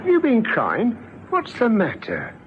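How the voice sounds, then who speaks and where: Casting: stammering, kindly, anxious male caretaker, animated intonation, muffled vintage radio drama with faint hiss. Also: high voice, slow pace, exaggerated voice. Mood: awkward, concerned. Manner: hesitant, stammering, coaxing. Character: nervous, well-meaning married male block caretaker. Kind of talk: old radio drama acting. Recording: muffled old radio recording, faint noise.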